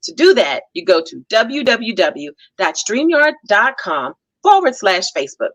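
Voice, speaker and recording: A woman co-hosting a talk show, chatty and excitable, making casual upbeat announcements and laughing easily. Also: mid-range voice, measured pace, dull audio.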